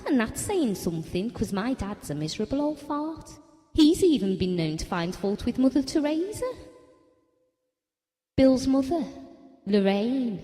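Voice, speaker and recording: An actress playing a devoted working-class wife who reminisces in an audio drama, colloquial, confiding and wistful. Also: female voice, medium pitch, warm tone. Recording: long reverberant hall sound.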